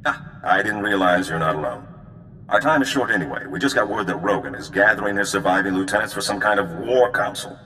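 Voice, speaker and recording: An actor playing a suave male republic officer in a video game, smooth, confident and flirtatious. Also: fairly low pitch, fairly quick, bright, forceful tone, big-room sound, slight background noise.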